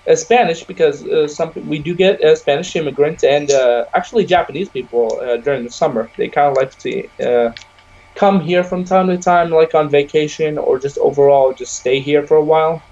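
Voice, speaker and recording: A man's voice: a little high, a little fast, darkish tone, highs cut off. A young man whose first language is Arabic, co-hosting a casual podcast, rambling along and filling gaps with "you know". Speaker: podcast co-host. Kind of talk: casual chat.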